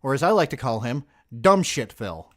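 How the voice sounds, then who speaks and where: A man in his forties gives casual reaction commentary in a mid-range voice. His pitch moves around a lot as he jokes and mocks, and he swears freely. The manner is sarcastic and chatty. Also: very animated, moderate pace, fairly bright tone. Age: 40s. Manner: profane, sarcastic, joking. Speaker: video host reacting to footage. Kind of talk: casual mocking commentary on police bodycam video.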